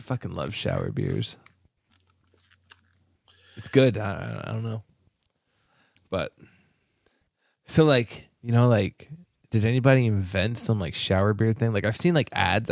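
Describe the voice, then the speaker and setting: A man's voice: fairly low pitch, vocal fry, no echo, studio quality. A man co-hosting a podcast, chatting enthusiastically and profanely with plenty of fillers. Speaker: podcast co-host. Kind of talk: casual chat.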